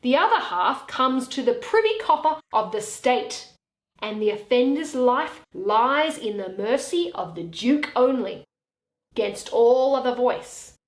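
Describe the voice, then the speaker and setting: A woman playing a stern, commanding young lawyer, speaking formal Shakespearean verse with deliberate emphasis. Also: on the high side, expressive. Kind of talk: Shakespearean stage verse reading. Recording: roomy, echoing room sound.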